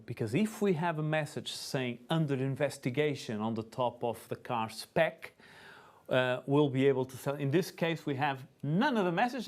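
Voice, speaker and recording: A man's voice: mid-range voice, darkish tone. A man with a Portuguese accent, a Portuguese native speaker, explaining the rules and announcing the lanes at a school car racing event, with some hesitation. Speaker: rules official and race announcer. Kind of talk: live event commentary.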